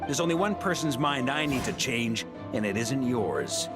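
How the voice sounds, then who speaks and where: A man's voice: mid-range voice, animated, moderate pace, over loud music, close to an everyday voice. A man playing a resolute knight hero. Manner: earnest and defiant, rising to impassioned calls.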